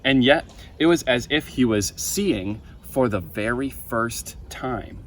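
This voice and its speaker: A young man reading a children's Bible story aloud in a deliberate, expressive way, with emphatic pauses. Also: medium pitch. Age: young adult. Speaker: storybook reader for a camp story time. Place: outdoors on a wooden deck by a pond. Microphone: camera a few feet away. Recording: outdoor ambient noise.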